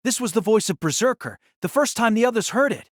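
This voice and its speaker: A man's voice: high voice, expressive, a little fast, very noisy. A man narrating an audio drama in a dramatic, scripted style that rises and falls with the action.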